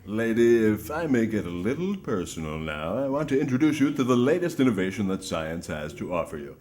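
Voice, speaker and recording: A man playing a mock-earnest sponsor pitchman in a parody radio advertisement, confiding and grandiose. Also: very animated, brisk, darkish tone. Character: smooth-talking old-time sponsor pitchman named Tommy.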